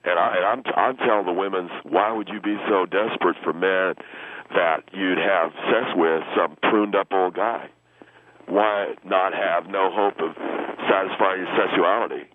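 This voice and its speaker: Low-pitched, indignant young guy played by a man phoning a radio comedy show; halting, crude, self-righteous, on a thin, hissy line. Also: steady tone, natural voice. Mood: halting disapproval. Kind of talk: improvised radio comedy call-in. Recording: phone-like radio line with hiss.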